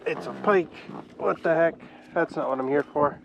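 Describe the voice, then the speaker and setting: A man's voice: on the high side, moderate pace. A man, congested from a cold, chatting casually while fishing from a boat on a lake. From Canada. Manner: relaxed, chatty, talks to himself and the fish. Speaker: fishing vlogger. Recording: windy outdoor recording with clear noise.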